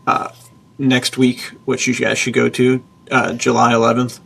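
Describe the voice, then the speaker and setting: Male podcast host, heavy vocal fry, fairly level pitch, informal and enthusiastic, emphatic conversational delivery. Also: monotone, normal speed, fairly bright tone. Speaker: podcast host, author and publisher. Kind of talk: casual podcast chat.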